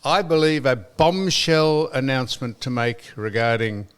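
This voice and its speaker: An older Australian man hosting a podcast, chatting in a rambling, storytelling way and giving listeners shout-outs. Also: mid-range voice, lively intonation, unhurried, smooth. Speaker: podcast host. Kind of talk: casual chat.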